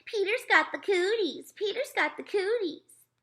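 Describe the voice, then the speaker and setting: A woman's voice: very high voice, animated, a little slow, bright, forceful tone, voice pushed up, heavily acted. A woman voicing a bossy know-it-all fourth-grade girl in a storybook, taunting and defiant. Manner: taunting, possessive, singsong defiance.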